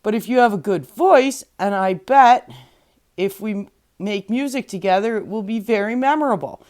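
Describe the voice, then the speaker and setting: A woman's voice: medium pitch, moderate pace, a little mellow, natural voice. A woman voicing a friendly, eager male donkey, the hearty ringleader in a storybook tale, with emphatic delivery. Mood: persuasive.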